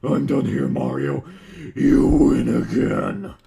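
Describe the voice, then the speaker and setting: A man voicing a defeated, grudging monster villain from a video game, with emphatic, drawn-out words. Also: medium pitch, creaky, dark tone. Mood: resigned, grudging.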